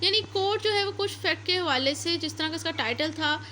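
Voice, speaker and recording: A woman's voice: extremely high pitch, fairly quick, bright, forceful tone, a little hiss or hum. A woman with a Pakistani accent giving an explanatory law lecture, didactic and often rephrasing her points. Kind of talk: explanatory lecture, reading out statutes and paraphrasing them.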